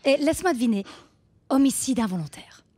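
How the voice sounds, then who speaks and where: High, bossy, gushing group leader. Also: female voice, lively intonation, fairly quick, dark tone, husky. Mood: brisk, patronising. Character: brisk, falsely cheerful woman running a support circle. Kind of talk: radio drama, satirical group facilitator.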